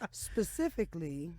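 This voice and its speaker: A woman's voice: a little high, a bit bright. An American woman in her sixties talking candidly and emphatically as a guest in a radio interview. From Texas and Oklahoma, United States. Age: 60s. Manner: frank, outspoken, emphatic. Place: radio studio. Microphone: studio microphone.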